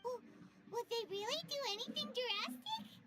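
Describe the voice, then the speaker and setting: A woman voicing a tiny, cheeky, childlike floating companion girl with a squeaky voice in a video game. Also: animated, normal speed. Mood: doubtful. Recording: music playing behind the voice.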